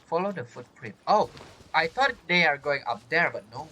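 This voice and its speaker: Animated, higher-pitched man doing game commentary. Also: normal speed, slight background noise, poor audio. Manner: reads game text aloud, repeats himself. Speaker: gaming streamer. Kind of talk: casual let's-play commentary. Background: game music.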